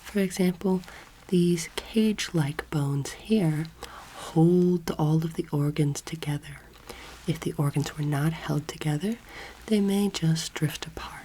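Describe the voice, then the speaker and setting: A young woman acts out an earnest female alien schoolteacher in an ASMR roleplay. The voice is low, soft and mellow, close to the microphone and often whispered. Delivery is measured and gently authoritative, with noticeable noise and clicks behind it. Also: poor audio. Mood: calm, instructive. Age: young adult. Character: patient, earnest female alien anatomy teacher. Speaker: ASMR creator playing a teacher. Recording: clear background noise, layered clicking sounds.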